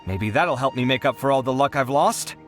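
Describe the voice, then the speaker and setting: A man voicing a proud, touchy, dramatic young man in a video game, expressive and huffy. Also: mid-range voice, a little fast, faint music behind, lightly acted. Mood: defiant, recovering. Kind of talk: animated video game voice acting.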